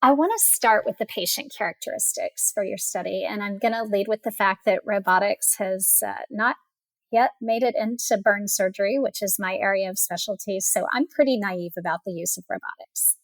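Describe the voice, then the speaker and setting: A woman's voice: medium pitch, normal speed, no room sound, rough recording. A woman hosting a professional podcast, asking formal, articulately worded interview questions. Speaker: burn surgeon. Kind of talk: scripted-sounding interview questions.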